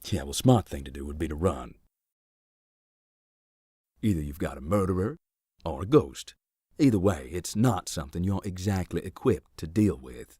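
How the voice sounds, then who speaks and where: An actor playing a dry, sardonic man who believes in ghosts, speaking deadpan in game dialogue. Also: sits low, normal speed, pauses often, breathy edge, no echo.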